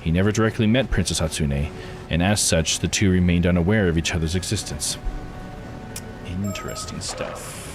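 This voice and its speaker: Male game streamer: low voice, casual read-aloud narration and chat, faint game music behind. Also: moderate pace. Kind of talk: visual novel playthrough, narration and casual chat.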